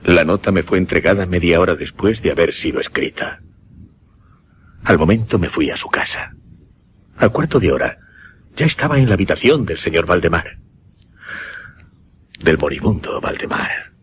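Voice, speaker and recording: Radio drama grave male hypnotist, low voice with lively swings, Castilian accent, deliberate haunted delivery. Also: slight background noise. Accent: Castilian Spanish. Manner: deliberate, dramatic, hesitating and repeating words for effect. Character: grave male hypnotist recounting a dying patient's horror. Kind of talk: Spanish radio drama suspense narration.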